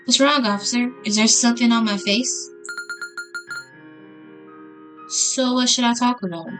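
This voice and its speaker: A woman's voice: a little high, fairly even intonation, a little fast, a bit bright, faint music behind, natural voice. A woman voicing a self-pitying, coy young female murder suspect in a visual novel, plaintive and teasing. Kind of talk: visual novel dialogue read aloud.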